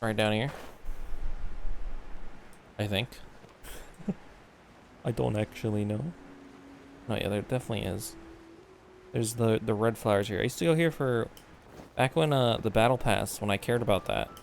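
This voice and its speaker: A man's voice: on the low side, unhurried, vocal fry, long pauses, a little hiss or hum. A man giving casual, sweary game commentary, often muttering to himself and exclaiming. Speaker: game streamer.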